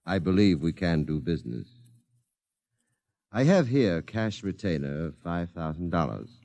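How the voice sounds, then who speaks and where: Deep-voiced, formal Martian visitor. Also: male voice, lively intonation, dark tone, no echo. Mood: coolly courteous. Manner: precise, formal, unhurried diction. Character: calm, formal Martian man posing as a business client. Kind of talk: 1950s radio drama acting.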